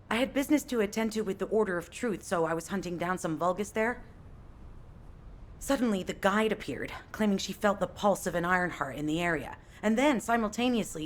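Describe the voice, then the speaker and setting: An actress playing a direct, businesslike field agent in a video game, conversational and matter-of-fact. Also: female voice, mid-range voice, slight background noise, close to an everyday voice.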